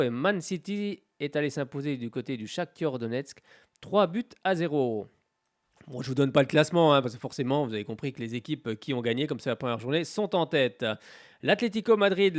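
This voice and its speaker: A man's voice: fairly high pitch, no echo, studio quality. Congested man hosting radio sports. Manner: hesitant, many filler sounds, joking. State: stuffy with a head cold. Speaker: radio sports show host. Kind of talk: casual radio sports talk. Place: radio studio.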